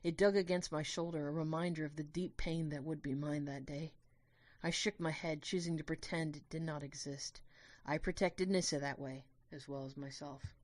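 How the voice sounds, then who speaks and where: A woman's voice: sits low, steady tone, normal speed, dry recording. A woman reading scripted first-person story narration as the narrator of an audio drama.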